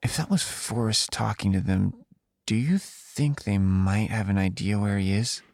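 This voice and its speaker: A man's voice: lowish voice, measured pace, darkish tone, no room sound, studio quality. A man with an American accent playing a guarded, secretive, somewhat hesitant man searching for an old friend in a naturalistic audio drama. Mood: careful, earnest. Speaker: narrator and lead character. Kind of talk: naturalistic audio drama acting with inner asides.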